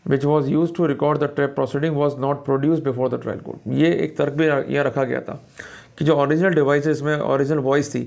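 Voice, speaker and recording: An Indian man giving a didactic, explanatory law lecture, deliberately explaining points as a teacher does. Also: a little high, creaky, a little mellow. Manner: didactic, often checks that listeners follow. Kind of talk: explanatory lecture mixing Hindi commentary with English read-outs.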